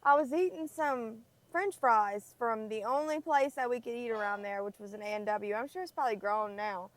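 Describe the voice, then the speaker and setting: A woman's voice: a little high, normal speed, rough recording. A woman, chatting casually and laughing easily in a parked car. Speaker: travel vlogger. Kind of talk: casual chat.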